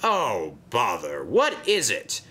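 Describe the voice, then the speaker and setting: A man voicing a stout, commanding male railway director with a formal, brisk manner. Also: fairly low pitch, huge pitch swings, bright, forceful tone, breathy edge, pitched above the performer's own voice, heavily acted.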